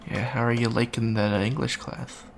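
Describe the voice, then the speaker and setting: Gravelly, awkward young alien student voiced by a man. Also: mid-range voice, level tone, a little fast, a little hiss or hum, natural voice. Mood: awkward, chatty. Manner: hesitant, stammering, awkward small talk. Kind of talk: improvised ASMR roleplay, casual chat.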